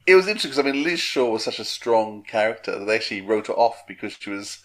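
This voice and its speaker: A man's voice: fairly low pitch, animated, quick pace. A man co-hosting a casual interview discussion, talking with earnest enthusiasm. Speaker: interview podcast co-host.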